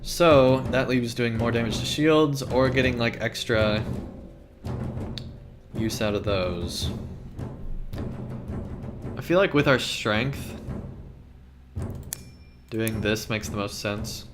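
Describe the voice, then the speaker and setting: A man's voice: takes it slowly, long pauses, music in the background. A man casually commenting on a story game, thinking aloud with fillers and restarts, and sometimes reading the game's text aloud. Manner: rambling, thinks aloud with fillers and self-corrections; voice sometimes jumps very high when joking. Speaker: let's play gamer.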